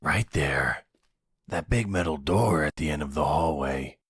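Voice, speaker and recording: Man acting a very deep, husky mafia boss. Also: lively intonation, a bit bright, dry recording, full character voice. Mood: calm menace. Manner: slow, menacingly tender, condescending. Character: possessive, delusional middle-aged male mafia boss and husband. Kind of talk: ASMR roleplay acting.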